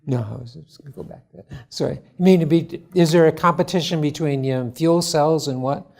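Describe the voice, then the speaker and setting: A man presenting his startup at a conference forum, answering judges' questions in a halting, earnest way full of restarts. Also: on the high side, vocal fry, darkish tone. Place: conference hall.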